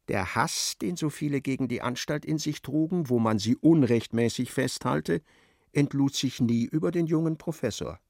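A man narrating a literary radio drama in a measured, articulate, storytelling way. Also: medium pitch, a little mellow, dry recording, clean studio sound.

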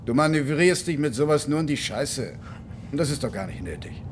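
A seasoned, exasperated cop played by a man in a radio crime drama. His slightly high voice swings widely as he lectures suspects with deliberate condescension. There is faint noise and rumble on the recording. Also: lively intonation, close to an everyday voice. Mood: controlled, menacing. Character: seasoned interrogating cop, controlled, cunning, exasperated.

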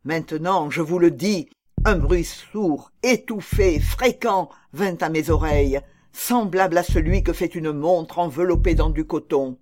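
A man playing a nervous, obsessed murderer confessing in a theatrical, halting and deliberate literary narration. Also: a little high, darkish tone. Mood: tense, insistent. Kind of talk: dramatic literary audiobook narration. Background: music under the voice.

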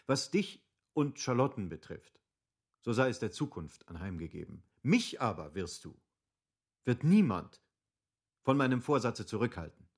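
A man's voice: mid-range voice, sing-song, a little slow, breathy edge, full character voice. An actor playing an impulsive, obstinate nobleman in a German literary radio drama, with formal, emphatic rhetorical phrasing. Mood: defiant. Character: impulsive, obstinate wealthy nobleman pleading with his friend.

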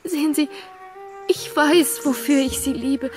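A woman's voice: high voice, fairly even intonation, unhurried, darkish tone, faint music behind. An actress playing a proud, hurt young woman in love in a German radio drama, emotionally intense and reproachful. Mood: tender, earnest. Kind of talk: German radio drama acting of a classic novel.

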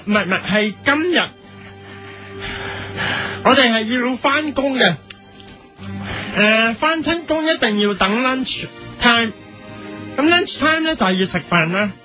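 Man acting bumbling male official, Hong Kong Cantonese, halting, repetitive, comic parody news statement. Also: extremely high pitch, animated, music in the background. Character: rambling, bumbling male observatory chief giving a statement.

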